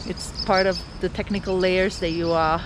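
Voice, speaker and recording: A woman's voice: lowish voice, level tone, moderate pace. A middle-aged woman from Romania vlogs casually while walking down a city street, rambling with many fillers and restarts. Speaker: travel vlogger. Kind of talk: casual walk-and-talk vlog. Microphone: handheld camera while walking. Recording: outdoor street noise and traffic.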